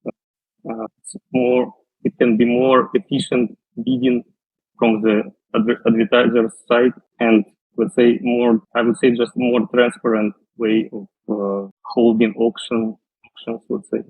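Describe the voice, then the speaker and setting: A man, answering interview questions slowly and carefully, with many fillers and restarts. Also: mid-range voice, level tone, slightly rough, dry recording. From Russia. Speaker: guest, data scientist. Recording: tinny phone-like line.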